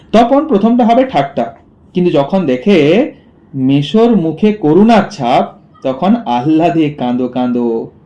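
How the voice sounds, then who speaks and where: The recording is dull and lo-fi. A male schoolteacher explains a text phrase by phrase in a soft-edged, relaxed voice pitched a little high. He often repeats key words and puts questions that he then answers himself. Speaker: school literature teacher. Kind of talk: explanatory lesson, reading a story line by line. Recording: dull, muffled lo-fi audio.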